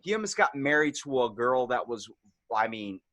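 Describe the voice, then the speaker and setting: A man's voice: mid-range voice, very animated, moderate pace, a bit bright, dry recording. A man with a Baltimore accent hosts a casual interview podcast. He tells loose, profane stories, and his voice sits mid-range and sounds dry and close. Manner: loose, profane storytelling with bursts of laughter. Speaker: podcast host.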